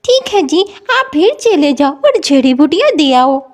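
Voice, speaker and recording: महिला की निभाई ऊँची आवाज़ वाली ममतामयी गाँव की माँ. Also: female voice, high-pitched, sing-song, unhurried, voice pushed up, full character voice. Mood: obliging. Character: caring, dutiful village wife and mother. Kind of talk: Hindi moral story cartoon dialogue.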